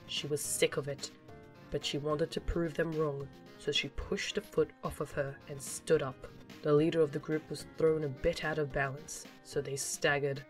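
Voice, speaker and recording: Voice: medium pitch, little pitch movement, normal speed. Audio drama narrator with faint music. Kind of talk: scripted story narration of a fan audio drama.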